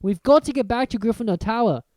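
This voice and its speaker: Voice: medium pitch, fast, darkish tone, no echo, studio quality, voice pushed up, close to an everyday voice. A reader voicing an anxious, snappish schoolboy with a gloomy streak.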